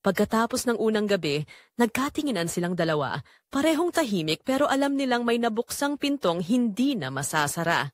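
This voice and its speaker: A woman's voice: fairly high pitch, very animated, brisk, dry recording, studio quality. A woman giving a dramatic, scripted first-person narration as the storyteller of an audio drama.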